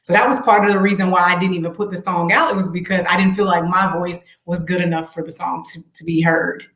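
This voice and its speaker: A woman's voice: fairly low pitch, steady tone, quick pace, fairly bright tone, roomy sound. A woman singer being interviewed, telling her story in an earnest, reflective way with faith-filled words. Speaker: singer and guest. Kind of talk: casual interview chat.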